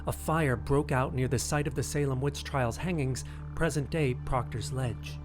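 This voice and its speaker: A man narrates in a measured, documentary style with an ominous storytelling tone. His voice sits at a middle pitch, with music clearly playing behind it. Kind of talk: scripted documentary-style narration of ghost lore.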